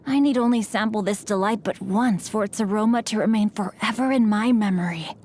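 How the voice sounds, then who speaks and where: A woman's voice: medium pitch, a little fast, lightly acted. Woman playing polite, playful young scholar-knight for game lines, faint noise behind. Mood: polite, composed. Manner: polite, formal and gently teasing. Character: refined young male swordsman scholar, courteous, playful.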